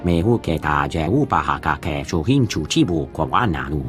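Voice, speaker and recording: A man voicing a gruff alien who speaks a guttural invented tongue in a video game. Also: a bass voice, expressive, moderate pace. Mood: stern. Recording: music behind the voice.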